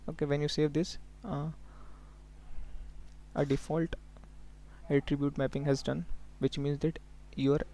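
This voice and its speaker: A man working as a software trainer, giving a step-by-step live tutorial in an instructional way, often prompting listeners to follow along. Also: on the high side, level tone, measured pace, pauses often. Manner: instructional, often checking in with okay and look here.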